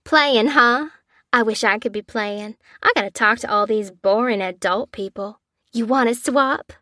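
A woman's voice: on the high side, measured pace, bright, forceful tone, no room sound, clean studio sound. A woman voicing a playful, gushing mother of thirty, cooing and stretching out her words. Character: gushing, playful thirty-year-old Southern American mother.

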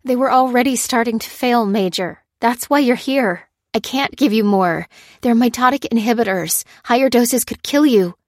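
A woman's voice: medium pitch, lively intonation, normal speed, no echo, clean studio sound, voice pushed up, natural voice. A woman acting an urgent, breathless young female researcher. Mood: imploring. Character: anguished, determined young female medical researcher, pleading.

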